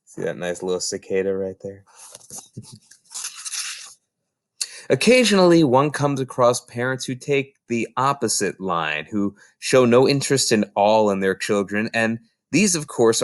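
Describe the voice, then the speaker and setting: A man reading a children's book aloud in an expressive, theatrical storytelling style with emphatic phrasing. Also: lowish voice, dry recording, clean studio sound.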